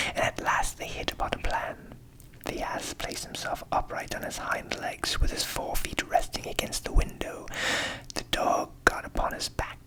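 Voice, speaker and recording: A man whispering a fairy tale softly and soothingly as an ASMR storyteller, with a breathy delivery and measured pauses. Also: low-quality recording.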